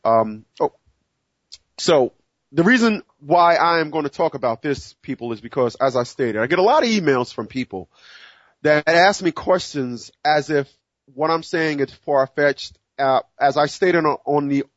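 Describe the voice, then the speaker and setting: A man's voice: a little high, lively intonation, moderate pace, no room sound, lo-fi sound. A man in his forties, hosting a solo talk show and speaking earnestly with many restarts and fillers. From United States. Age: 40s. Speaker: show host. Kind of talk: solo monologue to listeners.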